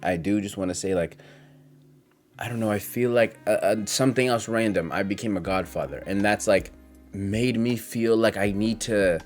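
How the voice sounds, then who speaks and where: A man's voice: fairly low pitch, normal speed, soft background music. A man in his twenties talking in a casual, confessional vlog monologue, reflective and hesitant as he rambles through his thoughts. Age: 20s. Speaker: content creator.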